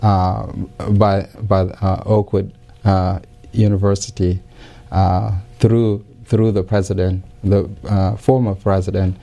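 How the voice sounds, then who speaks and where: A low, mellow-voiced man speaking English as a second language, answering interview questions in a measured, halting way. His pitch stays flat and even, and he often pauses to search for words. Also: creaky, highs cut off. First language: not English. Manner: many fillers and restarts, careful, measured. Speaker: interview guest. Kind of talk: hesitant conversational interview answers.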